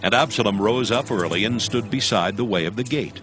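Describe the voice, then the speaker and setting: Lowish-voiced man narrating scripture. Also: fairly quick, music in the background.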